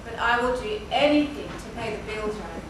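A woman acting an unemployed would-be stage director in an amateur play, hesitant and deliberate. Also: medium pitch, lively intonation, background noise, close to an everyday voice. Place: indoor theatre stage before an audience. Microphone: far camera. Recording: echoey theatre stage, distant camera mic, music.

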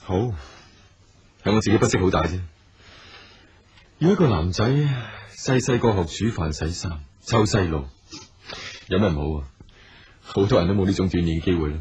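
An actor playing a patient, persistent businessman in a radio drama, speaking calmly and earnestly. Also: low voice, moderate pace, pauses often, dull audio, slight background noise. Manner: calm, earnest and probing.

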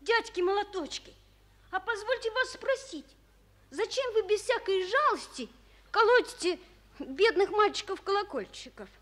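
A woman's voice: very high-pitched, expressive, normal speed, a bit bright. An actress in a 1940s radio play voicing a polite, eager, curious little boy with a childlike, earnest delivery. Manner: polite, wide-eyed, earnest. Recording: old 1940s radio recording.

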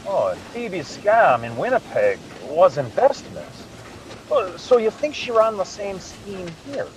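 A man acting in a radio drama as a loyal, hesitant gentleman sidekick who talks in a halting, musing way. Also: high-pitched, very animated, exaggerated voice. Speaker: radio play actor. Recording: noisy video call audio.

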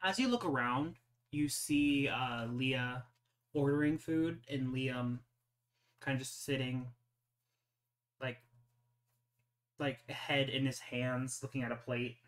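Give a man's mid-pitched voice as a game master on an online call. He moves between casual table chat and plain narration of the scene. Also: steady tone, slow pace, pauses often.

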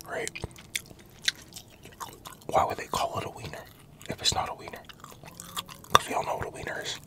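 Whispering man eating in his truck. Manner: whispering, loose and profane, chewing between remarks. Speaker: ASMR creator. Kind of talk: whispered ASMR eating chat. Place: inside a parked pickup truck. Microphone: large desktop mic in the cab, GoPro camera.

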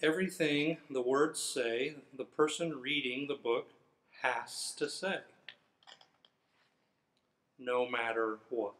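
A man's voice: medium pitch, fairly even intonation, takes it slowly, pauses often, fairly bright tone. A middle-aged man reading a children's book aloud in a theatrical, playfully exaggerated manner. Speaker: firefighter. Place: indoors in front of a fire truck. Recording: roomy, echoing sound.

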